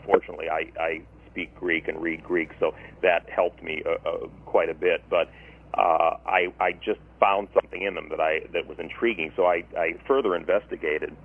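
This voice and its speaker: A man with a very deep, husky voice talks at length as a radio guest, circling his ideas with restarts and fillers. He comes through a tinny, phone-like line. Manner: thoughtful, discursive, frequent restarts and fillers. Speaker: author.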